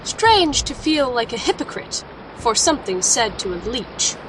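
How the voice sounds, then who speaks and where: Resolute female alchemist, played by a woman, serious and measured, fairly high voice, audio drama, faint noise behind. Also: sing-song. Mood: brooding. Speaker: lead character and narrator. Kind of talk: audio drama dialogue and reflective first-person narration.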